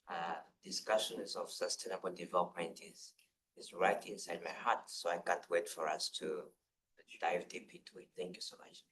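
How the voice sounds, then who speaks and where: A man from Uganda with a very low, deep voice introduces himself on a youth panel. He speaks earnestly as a lawyer and youth advisor. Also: lively intonation, normal speed, fairly bright tone, poor audio.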